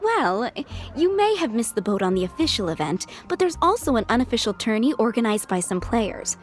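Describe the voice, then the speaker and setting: A woman's voice: fairly high pitch, very animated, brisk, faint music behind. A woman voicing a friendly townswoman in a video game, giving event news in an informative, easygoing way. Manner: friendly, informative, light laugh. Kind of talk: game dialogue.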